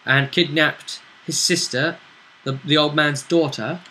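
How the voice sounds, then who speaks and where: A man's voice: a little high, steady tone, unhurried, pushed and bright, slight background noise, low-quality recording. A man casually recapping a tabletop role-play session as game master, rambling as he explains it.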